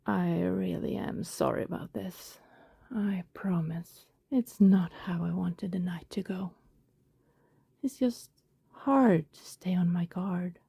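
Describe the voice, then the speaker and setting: A woman performs an affectionate, apologetic vampire woman in an intimate ASMR roleplay. Her voice is very mellow and whispery, with fry at the edges. She confides softly and hesitantly in a close, dry recording. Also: medium pitch, dry recording, full character voice. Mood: tender, apologetic. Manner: soft, breathy, whispery, hesitant confiding delivery. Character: affectionate female vampire neighbor, guilty, tender and reassuring.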